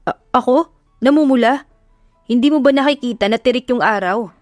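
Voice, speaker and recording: A woman's voice: a little high, fairly quick, darkish tone, no echo, clean studio sound, faint music behind, voice pushed up, lightly acted. A woman voicing a cocky, teasing young man who talks in a smug way.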